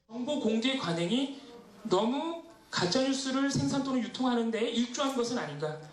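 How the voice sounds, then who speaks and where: A man's voice: very high voice, expressive, normal speed. A man giving formal spoken remarks as a forum participant.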